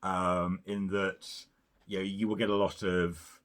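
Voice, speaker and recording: A man's voice: sits low, flat delivery, unhurried, a bit bright. A man co-hosting a casual film review podcast, rambling and hedging his points with lots of "you know" and "sort of". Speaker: podcast co-host.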